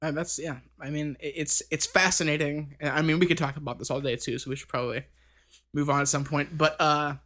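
A thirty-year-old man with a fairly high voice for a man, talking loosely in a casual podcast chat. He circles his point with lots of "like" and "um" and restarts his sentences. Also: steady tone, fairly quick, bright, forceful tone. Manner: rambling, with many fillers and restarts. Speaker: band member co-hosting a podcast.

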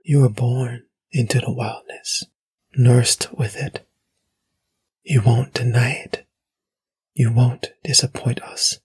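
Man voicing a breathy, ancient female forest spirit. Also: mid-range voice, steady tone. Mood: coaxing, menacing. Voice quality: breathy, half-whispered. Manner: slow, hushed, deliberate. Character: ancient female spirit voice, soft, eerie, coaxing. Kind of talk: audiobook storytelling.

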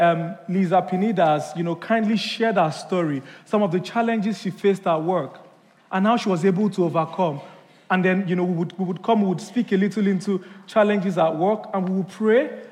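A man's voice: sits high, a little mellow. A man preaching as a pastor, talking conversationally and often restarting his sentences. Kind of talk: sermon. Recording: echoey hall with long reverb.

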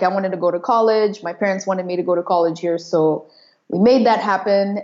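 A woman in her late twenties from East and southern Africa shares personal experience as an interview guest. Her pitch is middling for a woman and her delivery is conversational and reflective. The recording sounds slightly dull and lo-fi. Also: darkish tone. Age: late 20s. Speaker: podcast guest.

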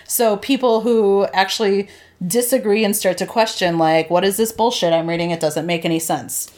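A woman's voice: mid-range voice, moderate pace, darkish tone. A young woman from the Chicago area co-hosting a casual podcast chat, laughing often between her phrases. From Chicago area, Illinois. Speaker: podcast co-host.

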